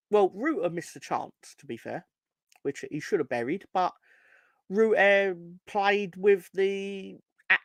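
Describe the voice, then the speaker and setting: A hoarse man ranting on a casual football fan podcast, swearing and rambling with many restarts. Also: high-pitched, a bit bright, dry recording. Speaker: podcast co-host.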